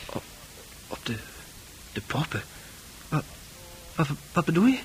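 Slow, hesitant, naive young man. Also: on the high side, big pitch swings, breathy edge, full character voice, rough recording. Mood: shy, confused. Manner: hesitant, stammering. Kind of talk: Dutch radio play acting. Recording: radio play with music behind.

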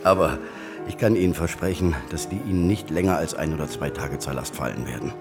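An actor playing a polite, formal German army major in a radio drama. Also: male voice, very deep voice, a little fast, music in the background. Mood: courteous. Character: courteous German army major, friendly to his prisoners.

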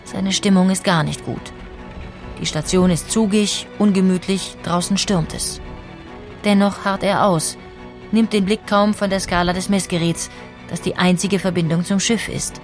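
A woman's voice: fairly low pitch, fairly even intonation, moderate pace, darkish tone. A woman reading scripted documentary narration as the narrator. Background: music.

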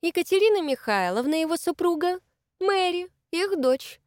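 A woman voicing a bossy, fussy young lady hostess who talks in a high, gushing, overly lively way. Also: moderate pace, dry recording, clean studio sound. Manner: shrill, gushing, exaggerated liveliness.

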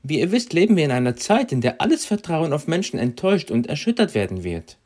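A man interprets a sermon live, picking up each short phrase as soon as the preacher stops. His pitch moves a lot and gives the lines a lively rise and fall. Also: fast. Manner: renders each phrase right after the preacher.

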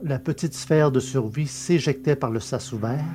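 A man's voice: mid-range voice, normal speed, music in the background. A man narrating a scripted science-fiction adventure story as its dramatic narrator.

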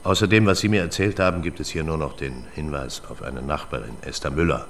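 An actor playing a big, older retired detective who speaks bluntly in a radio drama. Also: male voice, extremely low pitch, fairly even intonation, fairly quick, a little hiss or hum. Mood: blunt, probing.

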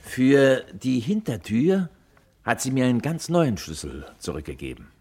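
An actor playing a worried, courteous well-off husband in a German radio crime play, polite, earnest and a little hesitant. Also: male voice, mid-range voice, wildly swooping pitch, measured pace, a little mellow, husky, exaggerated voice. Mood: earnest, thoughtful.

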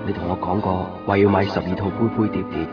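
An actor as a low-voiced, lovesick Hong Kong man. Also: level tone, fast talker, close to an everyday voice. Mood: wistful longing. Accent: Hong Kong Cantonese. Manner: wistful, introspective. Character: lovesick, melancholy man pining for a missing woman. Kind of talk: radio drama monologue and narration. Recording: muffled 1990s radio drama, distant, music behind. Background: music.

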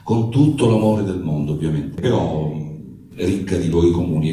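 Deep, dark-voiced man in an echoey room. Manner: rambling, hesitant, jokey. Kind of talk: informal spoken introduction to an audience. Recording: very echoey room, distant mic.

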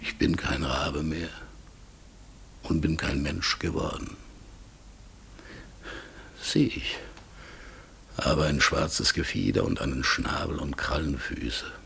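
A man playing a melancholy outcast talking raven in a radio play, solemn, gravelly and husky, sometimes sinking into whispers. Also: very deep voice, a bit bright, full character voice. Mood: sad, wistful. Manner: slow, solemn, mournful.

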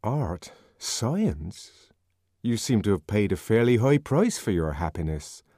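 A man's voice: fairly low pitch, sing-song, slow pace, mellow, dry recording, clean studio sound, full character voice. A man voicing an earnest, naive young male outsider in an audiobook, indignant and given to literary phrasing. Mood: questioning, hesitant.

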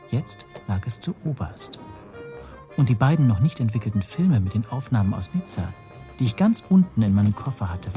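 Troubled storyteller in a radio play, lowish voice, measured and brooding, music under it. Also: male voice. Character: troubled, self-reproaching male narrator recounting spy intrigue. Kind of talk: scripted first-person narration in radio drama.

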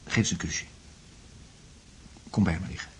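A man's voice: moderate pace, a bit bright, natural voice, poor audio. An actor in a radio play playing a confused, distressed man in love, speaking hesitantly. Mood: tender, drowsy. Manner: hesitant, faltering.

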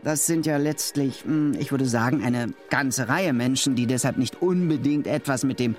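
An actor playing a politely nitpicking radio presenter who banters in a hedging, hesitant way. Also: male voice, mid-range voice, normal speed, a little mellow, soft background music, natural voice. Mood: hesitant objecting. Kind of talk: radio drama dialogue mimicking casual chat.

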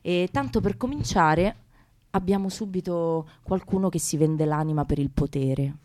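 A woman's voice: fairly low pitch, moderate pace, darkish tone, dry recording. A woman hosting a radio show casually, in an informal, hesitant manner with broken-off sentences.

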